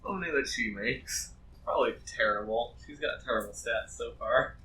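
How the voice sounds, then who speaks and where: A man's voice: medium pitch, normal speed, pushed and bright. A man narrating an improvised tabletop game as game master, with a wry, playful manner. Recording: echoey, noisy, distant group mic.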